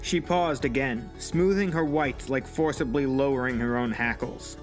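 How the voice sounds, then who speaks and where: Male narrator, scripted storytelling, medium pitch, soft music behind. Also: moderate pace.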